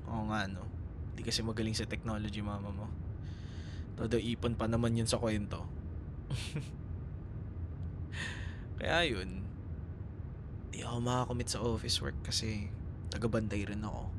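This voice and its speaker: A man's voice: on the low side, close to an everyday voice. A man playing a chatty, teasing young ex-boyfriend in a casual, conversational roleplay. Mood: subdued, reflective. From Philippines. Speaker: voice actor. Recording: clear background noise, car ambience.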